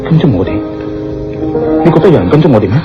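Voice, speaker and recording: An actor playing a concerned, tactful gentleman who speaks with careful hesitation in a radio drama. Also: fairly high pitch, sing-song, quick pace. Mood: probing, reassuring. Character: concerned, tactful gentleman probing and reassuring a stranger. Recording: dull lo-fi radio drama, music behind.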